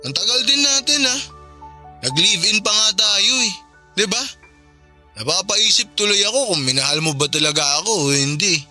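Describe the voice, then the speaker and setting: A man's voice: high-pitched, expressive, normal speed, pushed and bright, full character voice. A man playing a regretful, lovelorn young ex-boyfriend in a radio drama, plaintive and wheedling, with a Filipino accent. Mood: sad, reproachful. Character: regretful, pleading ex-boyfriend still in love. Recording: music behind the voice.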